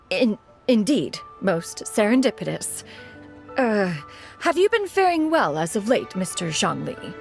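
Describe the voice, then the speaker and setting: A woman's voice: mid-range voice, big pitch swings, takes it slowly, creaky, faint music behind, exaggerated voice. A woman voicing a refined, dignified female collector who speaks in a lofty, archaic way, in a video game. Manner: archaic, lofty and elegant speech.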